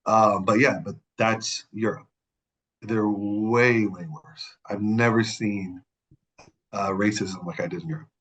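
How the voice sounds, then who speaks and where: A man's voice: on the low side, fairly even intonation, takes it slowly, a bit bright, a little hiss or hum, rough recording. A man from the Middle East, a podcast guest, telling personal stories in casual conversation, stressing points by repeating words and often restarting his sentences. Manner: frequent restarts and self-corrections.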